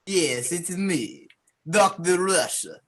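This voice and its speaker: A man's voice: high voice, animated, slow pace. A man in an improv show playing a Russian spy villain who threatens people with a hammy, theatrical delivery. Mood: gloating. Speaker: improv performer. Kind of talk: improv comedy scene.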